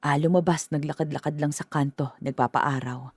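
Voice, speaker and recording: An actress playing a worried middle-aged mother who speaks in a halting, gentle way in a radio drama. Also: deep voice, steady tone, a little hiss or hum, natural voice. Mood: warm.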